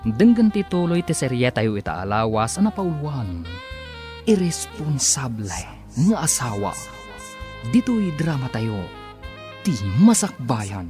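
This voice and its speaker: A male announcer with an Ilocano accent narrates a radio drama serial. His mid-pitched voice swings widely and dramatically, with music playing underneath.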